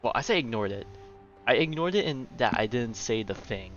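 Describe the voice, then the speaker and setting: A man's voice: mid-range voice, animated, fairly quick, fairly bright tone, muffled recording, soft background music. A man giving casual, chatty live commentary as he streams a game, musing to his viewers.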